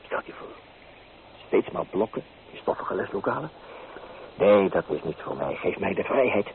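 An actor playing a teasing, free-spirited young painter in an old Dutch radio play, with theatrical delivery. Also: male voice, deep voice, big pitch swings, moderate pace, creaky, heavily acted. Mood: breezy, assured. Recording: muffled, noisy old radio recording.

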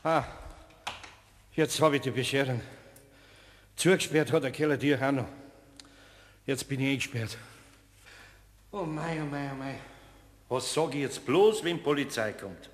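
A man's voice: medium pitch, a little slow, long pauses, lightly acted. An actor playing a flustered, good-hearted older craftsman in a German radio play, rambling and stammering in homely Bavarian dialect. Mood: dismayed, grumbling. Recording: reverberant studio radio play.

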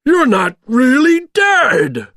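A man voicing a gleeful, menacing monster villain made of maggots, loud and taunting, with drawn-out words, in a storybook reading. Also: high-pitched, sing-song, vocal fry, no echo, clean studio sound, voice pushed up, exaggerated voice. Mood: wild, taunting.

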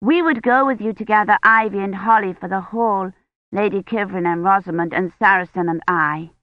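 A woman's voice: medium pitch, normal speed, dry recording, narrow, tinny audio, lightly acted. A woman voicing a small, eager, trusting girl in an audiobook, chattering artlessly in old-fashioned phrasing. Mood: matter-of-fact, insistent. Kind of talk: audiobook character acting.

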